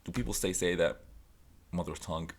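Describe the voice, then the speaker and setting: Guy from tunisia, arabic native, low voice, rambling music recs with lots of uh's. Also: brisk, slightly rough. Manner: chatty, loose, self-interrupting with fillers. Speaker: music vlogger. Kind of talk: casual music recommendations to camera.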